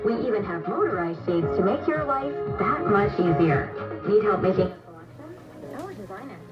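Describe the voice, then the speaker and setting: A woman reads a commercial with a lively, swooping delivery. The sound is a muffled, echoey radio broadcast, with loud music under her voice. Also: medium pitch, moderate pace, distant mic. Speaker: commercial announcer. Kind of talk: upbeat ad read.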